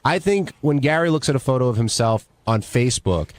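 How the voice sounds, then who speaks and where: A man's voice: medium pitch, animated, a little fast. An American man making his points in a casual debate on a radio show. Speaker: radio show cast member.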